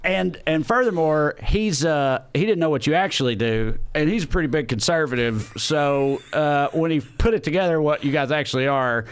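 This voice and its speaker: A man's voice: a little high, normal speed, hardly any pauses, clear, resonant tone. A man, hosting a comedy podcast with casual, rambling storytelling that often doubles back mid-sentence.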